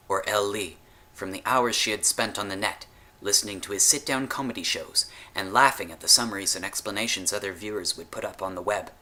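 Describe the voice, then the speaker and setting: A man's voice: fairly low pitch, pushed and bright. A man reading scripted narration of a short story as its storyteller. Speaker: storyteller, author.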